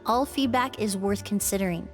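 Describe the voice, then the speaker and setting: A woman as Emilie, composed perfumer, medium pitch. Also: moderate pace, soft background music, lightly acted. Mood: gentle, modest. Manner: calm, precise, explanatory. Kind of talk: video game voice acting.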